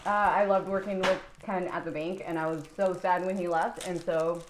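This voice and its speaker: A woman's voice: lowish voice, steady tone, moderate pace, noisy background. Woman in her thirties, casual chat, hesitant, faint room ambience. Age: 30s. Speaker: social media worker.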